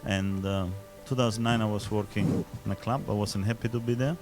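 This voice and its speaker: Italian-accented chef, deep voice, music behind. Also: male voice, steady tone. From southern Italy. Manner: halting, searching for words. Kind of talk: casual interview. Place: restaurant function room with music playing.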